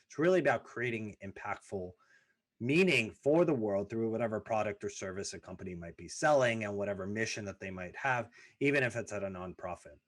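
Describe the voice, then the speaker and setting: Man, 30s, lowish voice, earnest personal story, emphatic lists. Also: brisk. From former Soviet Union, raised in the US. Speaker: podcast host.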